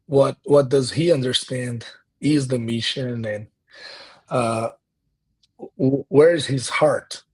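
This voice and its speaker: A man's voice: mid-range voice, takes it slowly, pauses often, darkish tone, husky. A Brazilian man in his thirties with a Brazilian accent, Portuguese his first language, answering interview questions as a guest pastor, earnest and reflective, often restarting his sentences. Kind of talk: conversational interview.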